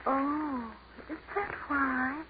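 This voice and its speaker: A woman's voice: sits high, steady tone, very slow. An actress playing a dazed, wistful young woman in a 1940s radio horror drama, plaintive and wondering. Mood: dazed, tearful. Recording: tinny, noisy 1940s radio recording.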